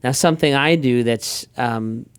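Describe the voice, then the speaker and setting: A middle-aged man, a pastor, telling everyday stories in a relaxed chatty way with frequent restarts. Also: mid-range voice, measured pace, clean tone, no room sound. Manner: relaxed storyteller, frequent restarts and quoted asides. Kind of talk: casual conversational talk.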